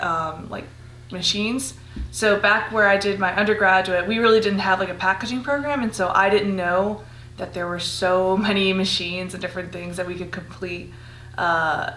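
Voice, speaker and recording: A young woman from Costa Rica talks through her graduate work in an easygoing voice of middle pitch, often pausing on little fillers. The room rings with echo and a faint hum sits behind her. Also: fairly bright tone. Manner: easygoing, many fillers and likes. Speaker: graduate student. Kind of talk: casual interview answers.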